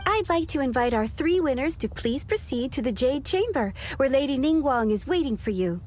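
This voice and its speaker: A woman playing a polite young secretary who makes official announcements in a video game, enunciating clearly. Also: high voice, animated, normal speed, slight background noise. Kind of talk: scripted video game voice acting.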